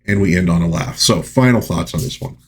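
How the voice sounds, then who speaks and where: A man's voice: sits low, fairly quick, breathy edge. A man hosting a movie review, recapping and commenting in a conversational, informal and folksy way. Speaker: film review host.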